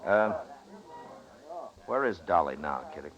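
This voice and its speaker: A man's voice: low-pitched, animated, a little slow, faint music behind. An American actor playing a stern, dry-witted frontier lawman in a 1950s radio western. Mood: stern, probing. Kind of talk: 1950s radio drama acting. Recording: dull old radio recording, crowd murmur.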